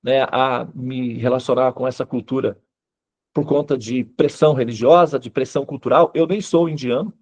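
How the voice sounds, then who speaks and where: A man's voice: mid-range voice, fairly even intonation, moderate pace, darkish tone. A Brazilian man speaking Brazilian Portuguese as a spiritual teacher, explaining in an informal lecture style and often checking in with short tag questions.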